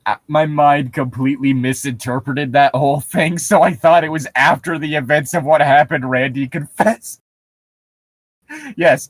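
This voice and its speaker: A man's voice: fairly high pitch, no room sound. A man reading a horror story aloud for comedy, breaking off into sarcastic, profane, incredulous commentary.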